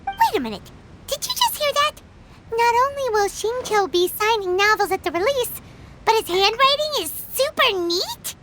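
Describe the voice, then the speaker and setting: A woman voicing a small, childlike, cheerful and nosy fairy companion in a video game, with a squeaky voice. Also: sing-song, normal speed, sharp tone, a little hiss or hum, heavily acted. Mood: excited. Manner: refers to self in third person.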